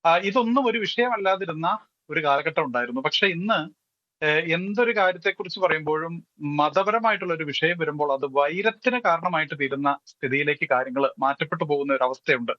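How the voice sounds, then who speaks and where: A man with a Malayali accent speaking as a panelist in a television debate, explaining haltingly with some English phrases mixed in. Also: fairly high pitch, no room sound. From Kerala, India. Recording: dull, lo-fi audio.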